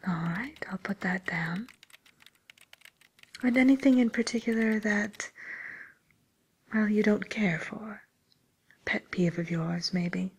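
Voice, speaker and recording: Woman acting as courteous fantasy matchmaker for villains, breathy whisper, very husky, unhurried, ASMR roleplay, close mic. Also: medium pitch, heavily acted. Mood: politely helpful. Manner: gentle, hesitant, courteous customer-service delivery. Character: polite, attentive female matchmaker for wicked villains. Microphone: close microphone.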